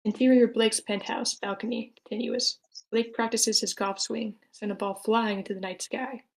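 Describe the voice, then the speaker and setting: A woman's voice: on the high side, steady tone, normal speed, low-quality recording. A woman reading out scripted stage directions as the narrator of a screenplay table read.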